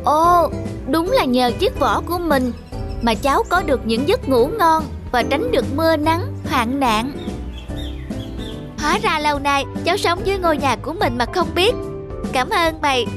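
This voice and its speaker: Young cartoon snail, childlike, high, naive, eager, polite, music underneath. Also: female voice, animated, moderate pace. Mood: amazed, grateful. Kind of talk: cartoon dubbing. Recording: music behind the voice.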